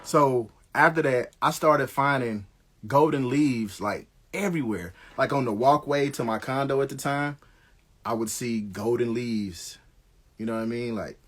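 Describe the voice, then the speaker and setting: A middle-aged American man with a husky, rough-edged voice at an average male pitch. He talks like a live-streamer telling a story to followers, in loose slang with free swearing. The delivery is casual and conversational. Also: measured pace.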